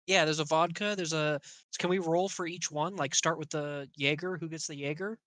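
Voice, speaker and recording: A man's voice: on the high side, fairly even intonation, moderate pace, a bit bright, dry recording. A man playing a tabletop game, pushing the group to decide and roll. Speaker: player. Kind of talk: casual tabletop game chat.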